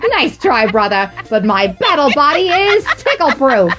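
A woman's voice: high-pitched, sing-song, measured pace. A woman voicing Papyrus, a proud, caring, playfully menacing skeleton in an amateur audio drama, speaking in a theatrical, emphatic way. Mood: smug, teasing.

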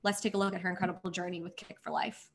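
A woman's voice: lowish voice, level tone, very fast, slight background noise. A woman hosting a virtual charity gala, thanking sponsors effusively while losing her voice. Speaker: gala host. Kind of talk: scripted event hosting.

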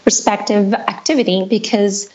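A woman from Quebec with a Québécois French accent, French her first language, chatting as a podcast guest with many pauses and ums. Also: medium pitch, fairly even intonation, dull audio. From Quebec, Canada. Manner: hesitant, many ums, warm laughing asides. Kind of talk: casual interview chat.

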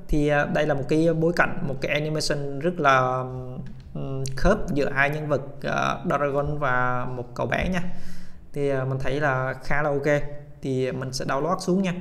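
A man hosting a casual software tutorial, speaking haltingly with many hesitation sounds and English tech terms mixed in. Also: fairly high pitch, fairly even intonation, vocal fry. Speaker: tutorial host.

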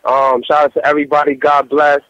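Rapper guy chatting on radio, kinda high voice, shout outs, lo-fi audio. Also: flat delivery, a little fast, dry recording. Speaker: rapper, radio show guest. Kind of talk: casual chat with shout-outs.

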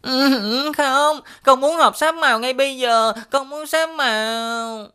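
A woman's voice: sits high, slow, pushed and bright, clean tone, heavily acted. A woman voicing an eager, demanding, innocent little boy in a children's cartoon, childlike and pleading, with a southern Vietnamese accent. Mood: whiny, insistent. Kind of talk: animated children's cartoon dubbing. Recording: music under the voice.

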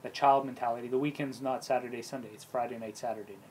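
A man in his late thirties talking quickly and loosely among friends. He fills his speech with "you know" and stacked yeahs. Faint ambience sits behind his voice. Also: mid-range voice, steady tone, a little mellow, slight background noise, rough recording. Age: 30s. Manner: fillers and repeated yeahs, quick talker. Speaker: podcast guest. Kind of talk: casual chat among friends.

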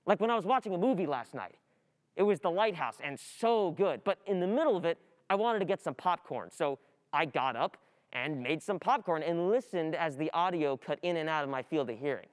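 A performer plays a distracted, frustrated student in a dramatic radio play monologue. The voice is clear and medium-pitched, agitated and repetitive, with anxious thoughts that halt and then spill out. Long hall reverb surrounds it. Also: lively intonation, fairly quick, natural voice. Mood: overwhelmed, confiding.

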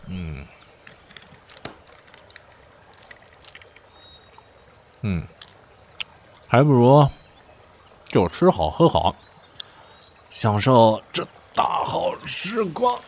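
A man playing a scheming, world-weary young prince in a Chinese period audio drama, speaking in a theatrical, drawn-out way with sighs. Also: medium pitch, wildly swooping pitch, darkish tone, heavily acted. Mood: wry, breezy. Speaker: lead voice actor in an audio drama. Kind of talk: period audio drama acting with inner monologue.

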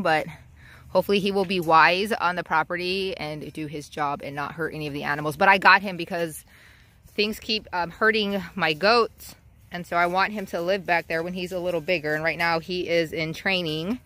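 A woman's voice: lowish voice, fairly even intonation, normal speed, bright, forceful tone. A woman vlogging on her homestead, chatting casually and laughing as she talks to her animals. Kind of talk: casual vlog chat. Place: outdoors in a farmyard.

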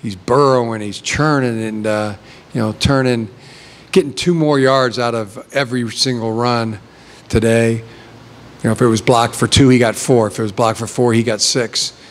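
A man's voice: mid-range voice, steady tone, moderate pace. A middle-aged man, a head coach, answering press questions with many restarts and fillers at a table mic. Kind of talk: postgame press conference answers. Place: press conference room with backdrop.

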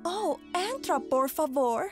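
A woman voicing a glamorous, playful foreign star model in a cartoon dub, speaking deliberately and haltingly. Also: high voice, animated, over music, full character voice. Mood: gentle, confiding. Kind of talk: animated series dub in Spanish.

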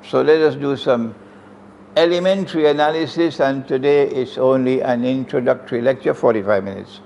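A man's voice: mid-range voice, moderate pace, vocal fry, a little mellow, a little hiss or hum. An older man from Trinidad with a Trinidadian accent, giving an emphatic religious lecture on a stand mic, with rhetorical questions to his audience. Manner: emphatic, rhetorical questions, sweeping hand gestures. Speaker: Islamic lecturer. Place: room with green chairs, indoors. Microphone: stand mic close in front.

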